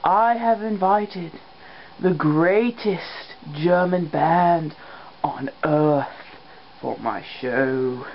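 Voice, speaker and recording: A woman's voice: deep voice, very slow. A woman voicing a boastful, easily exasperated male vampire who hosts an amateur comedy show, with theatrical announcing and flustered asides. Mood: grand, boastful. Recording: muffled lo-fi audio, faint noise.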